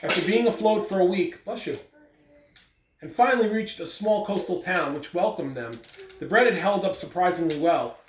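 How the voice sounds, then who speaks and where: A man reads a picture book aloud for schoolchildren, putting deliberate, animated emphasis on his words. His voice sits at some distance from the microphone in an echoey room, with faint noise underneath. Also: a little high. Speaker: school staff member reading aloud on morning announcements. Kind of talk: expressive children's read-aloud. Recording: roomy echo, distant mic, faint background noise.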